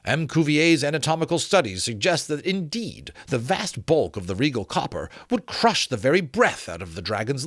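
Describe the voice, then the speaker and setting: A man hosts a dramatic read-aloud in a mid-pitched voice. His delivery is expressive and emphatic, swinging widely up and down, with a casual, personal touch. The sound is dry, with very little room in it. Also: no room sound. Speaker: host reading a novel aloud with his own asides. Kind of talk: dramatic audiobook-style reading with casual asides.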